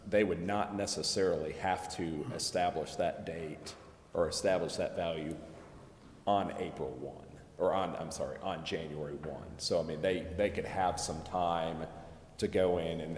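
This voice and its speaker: Low-voiced man explaining in an echoey hall. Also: fairly even intonation, normal speed, a little mellow. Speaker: advisor to a city council. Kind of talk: unscripted explanation at a meeting. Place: indoor council chamber. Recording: echoey hall with background noise.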